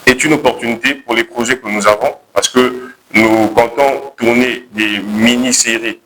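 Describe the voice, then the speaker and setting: A young man with a Congolese accent pitching his project to a jury, hesitating and correcting himself as he goes. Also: fairly even intonation, moderate pace, fairly bright tone, slight background noise, rough recording. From Republic of the Congo. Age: young adult. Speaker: contest candidate pitching a project.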